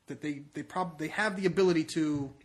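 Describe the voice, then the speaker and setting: Higher-voiced man talking soccer casually. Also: a little fast. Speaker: sports podcast co-host.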